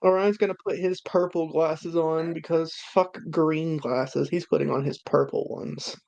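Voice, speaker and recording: A man's voice: a little high, steady tone, measured pace, creaky, a little mellow. A man playing a tabletop game, talking in casual banter.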